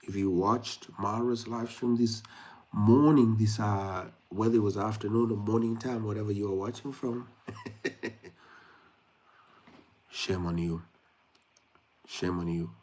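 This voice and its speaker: A man's voice: fairly low pitch, fairly even intonation, slow, pauses often, a little mellow. A young man from Kenya hosting a late-night livestream, chatting directly to his viewers with frequent fillers. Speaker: YouTube livestream host. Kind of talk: casual livestream talk to viewers.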